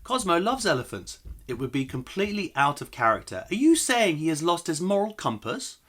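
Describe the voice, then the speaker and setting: Man doing a high-voiced, loyal storybook mother. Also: sing-song, brisk, a bit bright. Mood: troubled, disbelieving. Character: troubled mother loyally defending her relative. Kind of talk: children's storybook read aloud.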